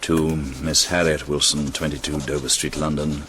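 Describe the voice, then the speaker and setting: An actor playing a brusque, sardonic aristocratic army commander in a radio drama, imperious and dry. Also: male voice, rumbling low, little pitch movement, moderate pace, noisy background. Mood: dictating, fondly brusque.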